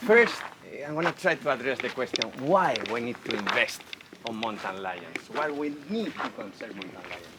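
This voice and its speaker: A man's voice: mid-range voice, expressive, normal speed. Spanish-accented man lecturing outdoors. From Spain. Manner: enthusiastic, friendly, asks the audience questions. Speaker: wildlife veterinarian giving a talk. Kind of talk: public lecture. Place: outdoor festival. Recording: noisy outdoor festival recording.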